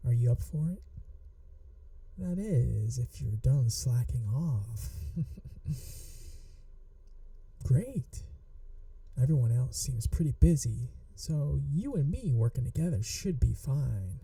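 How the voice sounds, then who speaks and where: A woman acts out a flirty, adorkable female manager in an ASMR roleplay. Her voice is very soft and dark, teasing and fond, with unhurried phrasing that rises and dips expressively. The sound is dry and intimate. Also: extremely low pitch, expressive, no echo, distant mic, heavily acted. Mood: coaxing, flirty. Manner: soft, coaxing, playfully teasing, slipping into whispers. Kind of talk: ASMR roleplay, acted monologue to listener.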